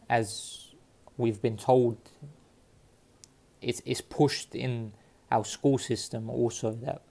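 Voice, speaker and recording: A man from Cyprus talking casually to his viewers, halting often with fillers and restarts. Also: mid-range voice, vocal fry, a little mellow. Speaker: vlogger sharing DNA results. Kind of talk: casual talk to camera. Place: London.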